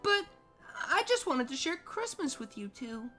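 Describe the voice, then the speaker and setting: A childlike female siren in a fan story reading. The voice is tiny and extremely high, with lively rises and falls. She is timid and pleading, tripping over her words, over music. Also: a little slow, heavily acted. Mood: hurt, pleading. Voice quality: small, thin voice. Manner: meek, stammering, pleading.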